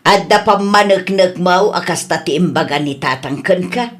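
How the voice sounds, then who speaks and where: A woman's voice: on the low side, moderate pace, natural voice. A woman with a Filipino accent playing a middle-aged, exasperated, nagging wife in a comedy radio drama. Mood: reproachful, exasperated. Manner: reproachful, expressive.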